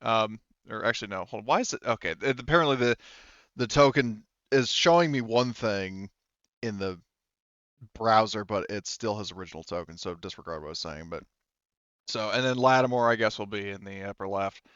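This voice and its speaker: A man's voice: fairly low pitch, fairly quick, fairly bright tone, smooth, dry recording, lo-fi sound. A man running a tabletop game as game master, talking casually and informally.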